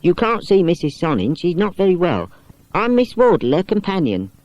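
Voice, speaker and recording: A man's voice: high-pitched, wildly swooping pitch, moderate pace, darkish tone, no echo, voice pushed up. A man voicing a loyal, talkative lady's companion in a storybook, earnest, emphatic and confiding. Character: loyal, talkative woman, companion to an old lady.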